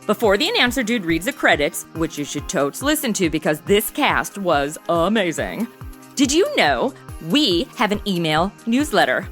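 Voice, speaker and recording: A woman's voice: mid-range voice, big pitch swings, normal speed, a bit bright, faint music behind. A woman playing a bubbly, self-absorbed modern teenage girl in a comedy audio drama, with gushing, slangy phrasing. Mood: perky.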